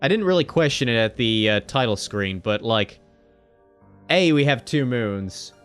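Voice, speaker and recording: A man's voice: lively intonation, measured pace, clear, resonant tone. Joking gamer man with faint background music. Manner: joking, irreverent, swears casually; jumps to sudden loud high exclamations. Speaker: gaming video host. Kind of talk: casual let's-play commentary, reading game text aloud.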